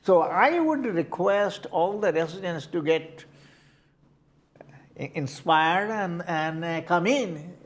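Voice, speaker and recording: An older man with an Indian accent, answering interview questions in a halting way, often repeating words as he searches for them. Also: high voice, animated. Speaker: community board candidate.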